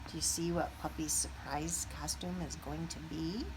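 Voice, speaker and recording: A woman reading a children's picture book aloud expressively, pausing to put questions to young listeners. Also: deep voice, fairly even intonation, noisy background.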